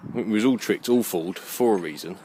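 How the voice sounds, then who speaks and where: A man giving a rambling, halting monologue as he walks outdoors, musing and questioning himself. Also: fairly low pitch, mellow, slight background noise. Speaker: vlogger. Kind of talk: rambling walk-and-talk monologue. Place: outdoors, walking along a muddy alleyway.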